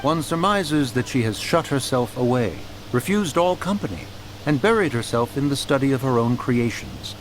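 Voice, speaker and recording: Man playing an animated, stiffly formal gentleman. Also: medium pitch, moderate pace, a little hiss or hum, close to an everyday voice, poor audio. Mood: formal, measured. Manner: stiffly formal, ornate phrasing. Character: formal, genteel male dignitary.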